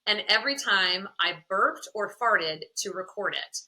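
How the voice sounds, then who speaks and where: A woman guest on a podcast, chatting candidly with a smoky voice, animated and quick to laugh. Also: mid-range voice, low-quality recording. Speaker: podcast guest, content creator and confidence coach. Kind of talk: candid conversational interview.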